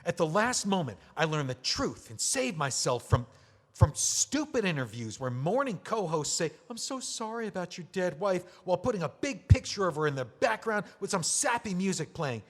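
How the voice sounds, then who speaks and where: Man doing a bitter drunk widower, sarcastic, slurring, higher voice, swings up and down a lot, long reverb. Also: big pitch swings, moderate pace, full character voice. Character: bitter drunk middle-aged widower author. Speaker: memoir author. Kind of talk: audio drama acting.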